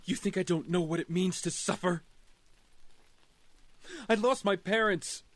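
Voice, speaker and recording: An actor playing a grieving, principled young vigilante hero in an audio drama, earnest and impassioned. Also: male voice, sits high, unhurried. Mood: pained, impassioned.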